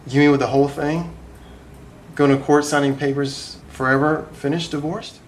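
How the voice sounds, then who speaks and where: A man's voice: on the high side, fairly even intonation, moderate pace, distant mic, close to an everyday voice. Male actor as exasperated young husband, dry sarcasm, theatrical comic timing, roomy sound, faint noise. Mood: subdued, stunned. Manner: dry, argumentative, sardonic. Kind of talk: theatrical comedic stage dialogue. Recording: echoey room with background noise.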